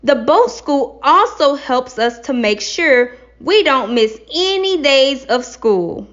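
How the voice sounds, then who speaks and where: A woman voicing an earnest schoolchild in a classroom play, with exaggerated, clearly enunciated delivery. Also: high voice, lively intonation, takes it slowly, a bit bright, exaggerated voice. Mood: eager. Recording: slightly dull lo-fi recording.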